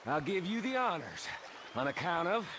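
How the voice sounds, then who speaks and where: An actor playing a cocky, talkative young American outlaw in a video game, speaking in a breezy, bantering way. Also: male voice, sits high, very animated, moderate pace, background noise. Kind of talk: video game cutscene acting.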